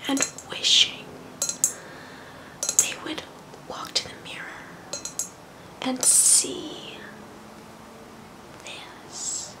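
Young woman, ASMR host persona: breathy whisper, unhurried, girly and self-admiring. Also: poor audio. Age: young adult. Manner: breathy, slow, vain, girly intimacy. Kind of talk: whispered ASMR role-play. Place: small bedroom, indoors.

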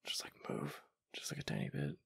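A man voicing a flustered, affectionate young gamer boyfriend in a boyfriend ASMR roleplay, with a deep, gravelly, halting murmur. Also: fairly bright tone, no room sound, clean studio sound, close to an everyday voice. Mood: panicked pleading.

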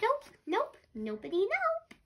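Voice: extremely high pitch, wildly swooping pitch, slow pace, a bit bright, pitched above the performer's own voice, heavily acted. A child voicing a cheerful, silly waffle-loving narwhal in a storybook, playful and exclaiming. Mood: playful, stubborn.

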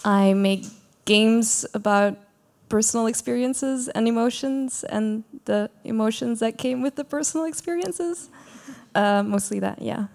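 Young woman with a gravelly voice. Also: fairly high pitch, unhurried, a little mellow, slight background noise. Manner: shy, hesitant, with many fillers. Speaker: game design student panelist. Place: small venue stage before an audience.

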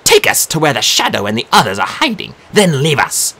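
A man's voice: medium pitch, wildly swooping pitch, fairly quick, sharp tone, voice pushed up, full character voice. A man putting on menacing Valkyrie sisters. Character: cold ancient Valkyrie warrior sisters, menacing. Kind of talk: audiobook character voice.

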